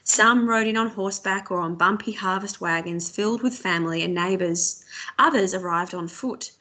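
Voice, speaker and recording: Woman reading a book aloud like a teacher, expressive, measured, mid pitched. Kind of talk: scripted storybook narration.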